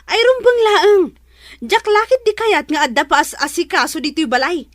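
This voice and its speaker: High-pitched, whiny younger sister. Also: female voice, normal speed, no echo, clean studio sound. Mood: spiteful, complaining. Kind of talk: Ilocano radio drama acting.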